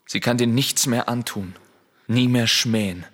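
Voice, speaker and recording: An actor playing a grave, determined avenging son in a classical radio tragedy, speaking in a measured, husky voice. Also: male voice, medium pitch, fairly bright tone. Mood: grimly satisfied.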